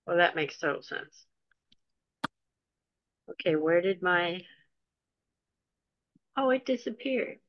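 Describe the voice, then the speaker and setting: A woman hosting an online talk, introducing the speaker in a chatty, relaxed way with light jokes. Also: on the low side, slow, pauses often, dull audio, a little hiss or hum. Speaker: host and moderator. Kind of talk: introducing a speaker over a video call.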